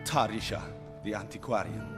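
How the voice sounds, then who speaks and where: An actor playing a proud former soldier and sword-for-hire in a fantasy video game, speaking formally. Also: male voice, on the low side, expressive, moderate pace, loud music behind the voice, lightly acted. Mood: confiding. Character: boastful swordsman, former soldier, proud yet loyal bodyguard.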